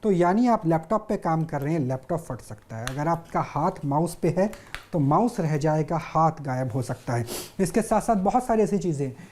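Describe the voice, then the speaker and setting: Mellow woman presenting news demonstrations. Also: sits low, expressive, moderate pace, a little hiss or hum. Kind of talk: live demonstration reporting.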